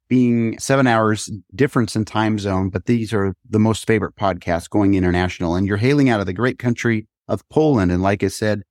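A man's voice: fairly low pitch, darkish tone, no room sound, studio quality. A man hosting a business podcast, reading guest introductions and asking questions in an upbeat, friendly manner. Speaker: podcast host. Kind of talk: interview hosting.

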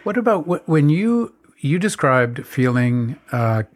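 An interviewer asking gentle, attentive questions and often affirming with short replies. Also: medium pitch, big pitch swings, unhurried. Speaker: interviewer, host.

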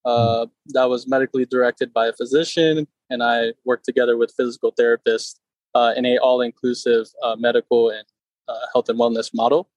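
A man's voice: mid-range voice, a little fast, dry recording, muffled recording. Man in his thirties, from Connecticut, easygoing guest, mid-range voice, dry close recording. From Connecticut, USA. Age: 30s. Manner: relaxed, many fillers. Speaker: personal trainer, guest. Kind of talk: casual interview.

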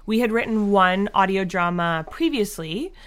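A woman's voice: mid-range voice, lively intonation, normal speed. A woman answering as an interview guest in a conversational way, with hesitant, filler-heavy phrasing. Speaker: interview guest, audio drama creator.

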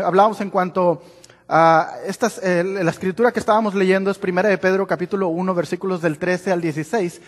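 A man with a Mexican accent, Spanish his first language, preaching a sermon in English and Spanish, halting, restarting and laughing at himself. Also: fairly high pitch, in a large hall. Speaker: pastor. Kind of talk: bilingual sermon with own interpreting. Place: large church sanctuary.

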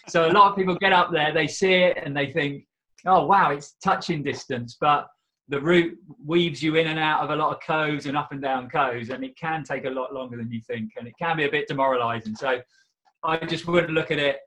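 A man with a slightly croaky voice, a podcast guest chatting casually about trail running, laughing often and filling pauses. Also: fairly high pitch, a bit bright, poor audio. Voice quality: laughs often, easygoing, says "um" and "you know" often.

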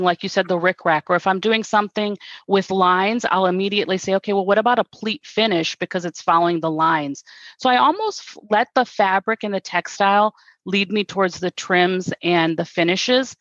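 A woman's voice: medium pitch, normal speed, a bit bright, no room sound, dull audio. A woman guest speaking earnestly and reflectively about sewing and self-expression in a conversational interview. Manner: earnest, reflective, repeats words when restarting.

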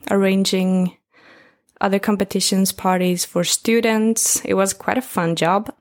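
A woman in her twenties from northern Sweden, speaking English with a Swedish accent in a relaxed, chatty interview. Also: mid-range voice, unhurried. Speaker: guest. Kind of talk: casual interview talk.

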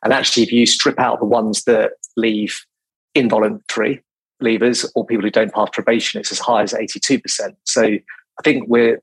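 A man in his forties, a recruitment business leader talking as a podcast guest in a quiet, calm, measured way with frequent restarts. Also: on the low side, husky, no echo, low-quality recording. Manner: quiet, calm, many restarts and repeated words. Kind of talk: measured business interview talk.

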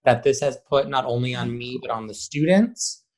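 Guy who directs school theater chatting in an interview, expressive and emphatic, medium pitch. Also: normal speed. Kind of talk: casual conversational interview answers.

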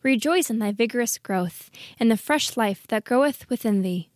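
A woman playing a gentle sunbeam spirit in a storybook, joyful and encouraging. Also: on the high side, lively intonation, normal speed, no echo, clean studio sound, natural voice. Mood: joyful encouragement. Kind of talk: dramatic storybook reading.

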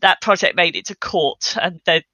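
Bright-voiced middle-aged woman telling stories. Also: lowish voice, moderate pace, no echo, muffled recording. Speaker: podcast guest, software consultant. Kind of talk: casual interview storytelling.

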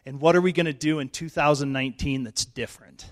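A middle-aged male pastor, hoarse and losing his voice, giving a chatty, self-joking sermon in church on a handheld mic. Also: fairly high pitch, fairly even intonation, fast. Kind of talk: informal sermon. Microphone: handheld microphone.

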